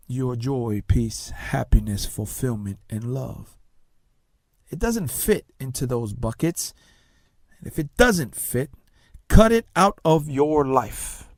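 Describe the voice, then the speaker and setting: A man who grew up in Tonga, a native Tongan speaker, hosting a talk show as a success coach, delivering an emphatic motivational monologue with dramatic pauses and soft, hushed phrases. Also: medium pitch, dry recording. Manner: slow, emphatic, dramatic pauses, drops to soft near-whispers.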